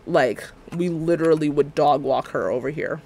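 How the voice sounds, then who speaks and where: A man in his twenties talks on stream in a slightly higher register. He vents at length, dropping into mocking impressions of what others said. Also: normal speed. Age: 20s. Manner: ranting, animated, sarcastic, mimics others' words. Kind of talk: casual livestream chat while building in a game.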